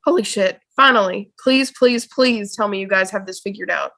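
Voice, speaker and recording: A woman's voice: medium pitch, moderate pace, creaky, sharp tone, no room sound. A woman playing a frantic, exasperated young teenage boy, urgent and pleading, in a fan table read. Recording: dry video-call audio, music underneath.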